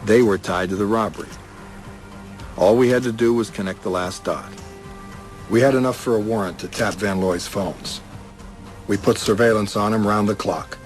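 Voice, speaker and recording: An actor playing a serious, terse police detective in a crime drama, matter-of-fact in dialogue and voice-over. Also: male voice, lowish voice, moderate pace, pauses often, faint music behind, natural voice. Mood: measured. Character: serious, terse male police detective, narrating his case.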